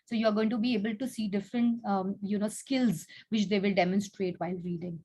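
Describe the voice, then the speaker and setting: A woman's voice: mid-range voice, steady tone, fairly quick, dark tone, breathy edge. A woman hosting and teaching, addressing children in an instructive way and prompting them with questions over an online video call. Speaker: contest host and teacher. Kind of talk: explaining rules and addressing children.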